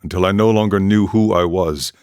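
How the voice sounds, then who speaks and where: A man voicing a weathered, philosophical warrior monk and former chieftain in a video game, telling his tale in a measured, solemn, reflective way. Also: low voice, a little mellow, clear, resonant tone, no room sound, clean studio sound, natural voice. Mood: grim, calm. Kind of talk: scripted dramatic storytelling monologue.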